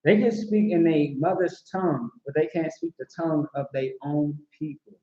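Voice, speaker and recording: A man's voice: a little high, normal speed, dark tone. A man teaching a scripture class in an emphatic, repetitive way, often checking that listeners follow. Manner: emphatic, repetitive, asks "see what I'm saying". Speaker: class instructor. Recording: roomy, dull recording.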